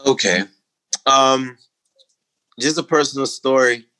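A middle-aged man from the Bahamas with a Bahamian accent, a guest in a conversational interview, talking emphatically and climbing in pitch when he makes a point. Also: takes it slowly, bright, forceful tone, rough recording. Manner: emphatic, rising to a preaching lilt when making a point.

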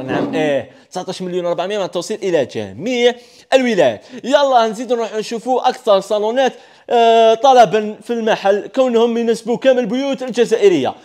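A man's voice: high-pitched, a little mellow. A young man from Algeria with an Algerian Arabic accent full of French words, giving an enthusiastic sales walkthrough in a large indoor furniture showroom, filmed on a phone. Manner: enthusiastic, rapid sales patter mixing French terms.

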